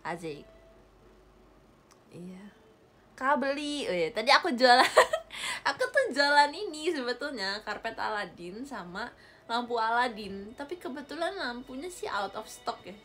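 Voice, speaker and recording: A woman's voice: a little high, big pitch swings, unhurried, sharp tone, soft background music. A young woman chatting casually with viewers on a livestream, giggling often and thanking them for gifts. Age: young adult. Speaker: idol livestreamer. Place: small bedroom with a gaming chair. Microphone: webcam or phone at close range.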